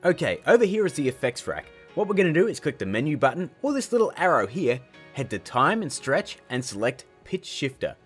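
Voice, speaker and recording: A man's voice: fairly high pitch, very animated, fairly quick, faint music behind. A man in his thirties hosting a casual scripted tutorial in a jokey, emphatic, theatrical way. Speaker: tutorial host.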